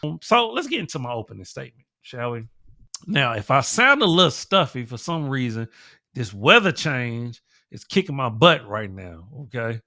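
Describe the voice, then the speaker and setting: Stuffy-nosed man with a bright voice. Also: medium pitch, big pitch swings, moderate pace, no echo, clean studio sound. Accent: American English. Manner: conversational, keeps adding "right?" and "okay?". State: stuffy nose from a cold. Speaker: podcast host. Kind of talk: casual monologue commentary.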